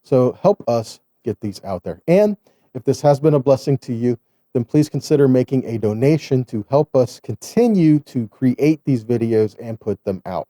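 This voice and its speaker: A man's voice: mid-range voice, animated, normal speed, dark tone, no echo, clean studio sound. A man giving an informal sermon as a Bible teacher, earnest and exhorting, often checking in with rhetorical questions. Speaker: Bible teacher, host of online Torah studies.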